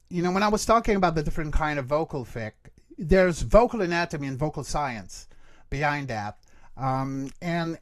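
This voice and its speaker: A man teaching in an online workshop, explaining emphatically and often checking that listeners follow. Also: fairly high pitch. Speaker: guest creature voice instructor.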